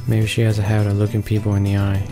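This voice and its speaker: A man reading visual novel text aloud for a gaming video, halting now and then with stumbles and repeated words. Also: fairly low pitch, monotone. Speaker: let's play host reading along. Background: game music.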